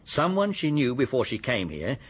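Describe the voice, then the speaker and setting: Husky police detective. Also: male voice, mid-range voice, wildly swooping pitch, moderate pace. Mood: confident. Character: matter-of-fact police detective weighing up suspects. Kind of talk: radio drama acting.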